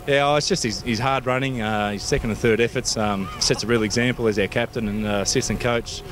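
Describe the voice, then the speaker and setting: A man with an Australian accent giving halting, matter-of-fact interview answers as a football coach. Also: mid-range voice, fairly even intonation, fairly bright tone. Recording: noisy outdoor recording with vehicles.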